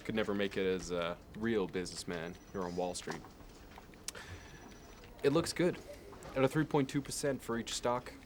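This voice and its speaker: Low-voiced smug Wall Street banker played by a man, slick and fussy ASMR roleplay, audible background noise. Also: natural voice. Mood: contemptuous. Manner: smooth sales talk, measured and fussy. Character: smug, fastidious investment banker, slick and contemptuous.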